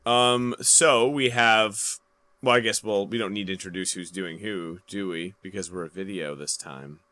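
Bright, dry-sounding man co-hosting a podcast. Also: on the low side, fairly even intonation, moderate pace, no room sound. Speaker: podcast co-host. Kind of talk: casual joking chat.

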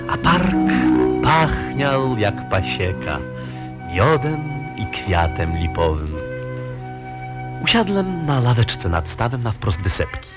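A dreamy young poet in an old radio play, in a middle-pitched voice that rises and falls widely. He tells his story slowly and lyrically, with loud music playing behind him. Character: young male poet, dreamy and easily flustered. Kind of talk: 1940s radio acting. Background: loud music score.

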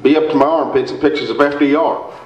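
A man's voice: fairly high pitch, fairly even intonation, fast, a crowd in the background, natural voice. A man on stage playing a folksy, muddled, blustering small-town basketball coach in a radio comedy, giving rambling pep talks. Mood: gruff, impatient. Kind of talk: staged old-time radio comedy acting. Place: indoor theater stage before an audience. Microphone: stand mic, heard through far camera. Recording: echoey stage hall, distant muffled camera audio.

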